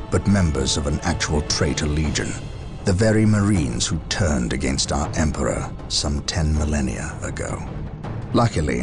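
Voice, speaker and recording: A man playing a stern, zealous space marine captain in a video game, speaking in a grave, formal, commanding manner. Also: a bass voice. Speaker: military commander. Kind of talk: dramatic game cutscene monologue and orders.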